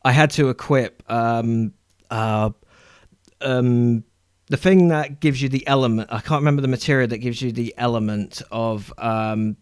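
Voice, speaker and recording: A man's voice: medium pitch, steady tone, moderate pace, clean tone. Hesitant man in casual podcast chat. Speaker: podcast co-host.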